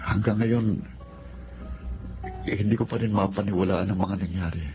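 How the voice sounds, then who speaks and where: An actor with a Filipino accent playing an earnest, sensitive young son in an emotional radio drama. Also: male voice, lowish voice, fairly even intonation, normal speed, close to an everyday voice. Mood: sorrowful. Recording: dull lo-fi audio, loud music behind.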